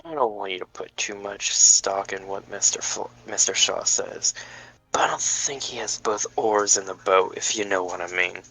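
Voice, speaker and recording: A man playing a sensible, patient older guardian in a cartoon table read, with a measured, mildly admonishing delivery. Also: lowish voice, pushed and bright, breathy edge, faint music behind, full character voice, poor audio. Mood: reluctant, cautious.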